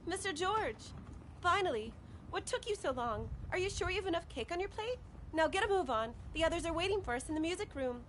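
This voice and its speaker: A woman voicing a sociable, bossy lady mouse assistant in a game, chatty and gently chiding. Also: very high-pitched, lively intonation, brisk, slight background noise. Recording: music behind the voice.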